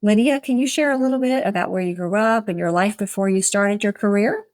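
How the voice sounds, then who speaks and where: A woman's voice: mid-range voice, fairly quick, dry recording, clean studio sound. A woman hosting a podcast, giving polished, articulate scripted introductions and interview questions. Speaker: podcast host.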